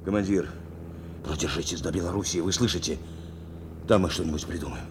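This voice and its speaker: An actor playing a steadfast, protective bomber navigator in a war radio drama, speaking with earnest insistence. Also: male voice, sits low, brisk, noisy background, lightly acted. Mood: urgent, reassuring. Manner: insistent, repeating short phrases. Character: steadfast male bomber navigator, urging and protective of his commander. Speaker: actor, also narrating in the first person.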